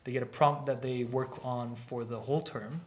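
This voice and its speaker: Brief: male art instructor, mid-pitched, loose and talkative on a casual stream. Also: fairly even intonation, normal speed. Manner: chatty, explains at length with restarts. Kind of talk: casual live stream chat. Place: art academy studio.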